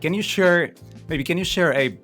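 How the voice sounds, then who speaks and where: A man's voice: on the high side, normal speed, bright, forceful tone, soft background music. A man hosting an interview podcast, asking questions eagerly and politely with frequent ums. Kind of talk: interview questions and sponsor read.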